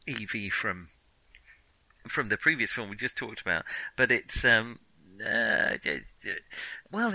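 A man casually discussing films as a podcast host, speaking in halting, hesitant phrases. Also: lowish voice, sharp tone, dry recording, poor audio.

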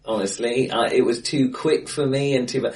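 A man hosting a casual film discussion in a hesitant, self-correcting conversational way, recorded in a bright, echoey room. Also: medium pitch, normal speed, distant mic. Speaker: podcast host. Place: untreated, acoustically bright room, face to face.

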